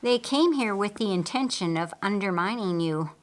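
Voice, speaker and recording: A woman's voice: medium pitch, animated, normal speed, lightly acted. A woman voicing an observant, earnest female disciple in an audiobook, explaining things in a frank, matter-of-fact manner. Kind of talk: audiobook character dialogue.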